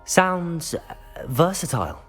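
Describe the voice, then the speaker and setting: An actor playing a respectful, curious young wizard student in a video game, polite and earnest. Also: male voice, a little high, sing-song, slow, fairly bright tone, faint music behind, heavily acted. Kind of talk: cinematic video game acting.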